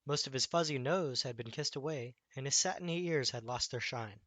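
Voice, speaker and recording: A man reading a children's bedtime story aloud as an expressive storyteller. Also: medium pitch, fairly quick, no echo.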